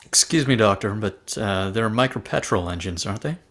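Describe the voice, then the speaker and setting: A man's voice: on the low side, expressive, a little fast, a bit bright. A man playing a polite, inquisitive passenger in an amateur drama table read, speaking hesitantly. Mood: polite, questioning. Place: online video call table read.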